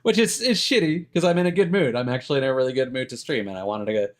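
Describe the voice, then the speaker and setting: A man's voice: mid-range voice, fast talker. A man chatting casually as a live streamer, rambling and thinking aloud with hesitant self-corrections.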